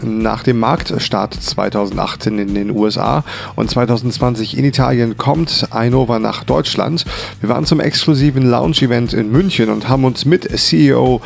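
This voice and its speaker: A man's voice: medium pitch, moderate pace, creaky. A man working as a reporter, delivering scripted narration and interview questions. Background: music.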